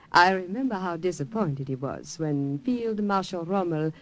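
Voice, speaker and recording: A poised young woman, a secretary who is cool and composed. She speaks in a fairly low voice and asks probing questions. It is a 1940s radio drama. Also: animated, a little slow, poor audio.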